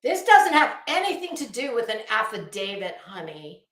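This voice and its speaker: A woman's voice: a little high, very animated, moderate pace, a bit bright, echoey room. A woman delivering an opinionated online commentary rant, blunt, scolding, emphatic and sweary. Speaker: online commentary video host.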